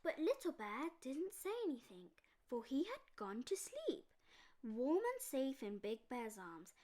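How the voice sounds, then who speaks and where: A woman's voice: high voice, wildly swooping pitch, measured pace, dark tone. A woman storyteller reading a children's bedtime story aloud expressively.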